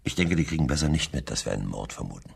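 Male chief inspector, radio whodunit: shrewd, probing, gravel-throated, with big swings in pitch. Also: very animated, exaggerated voice. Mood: wry, deliberate. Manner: deliberate, questioning, wry. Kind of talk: German radio crime drama acting.

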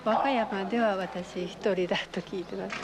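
A woman's voice: mid-range voice, steady tone, moderate pace. A woman giving polite answers in an interview. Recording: loud crowd chatter around her. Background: loud crowd.

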